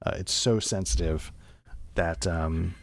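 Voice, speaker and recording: A man's voice: low-pitched, takes it slowly, vocal fry. Chatty laughing male game master. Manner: rambling, laughs often. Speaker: game master and podcast host. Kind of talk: casual post-session chat. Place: home.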